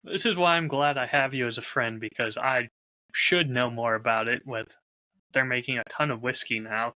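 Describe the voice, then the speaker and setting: A man's voice: mid-range voice, steady tone, moderate pace, bright, forceful tone, no echo, rough recording. A man talking casually as a host, showing off a bottle while recording on a close lav mic. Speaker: podcast host and whiskey audio drama creator.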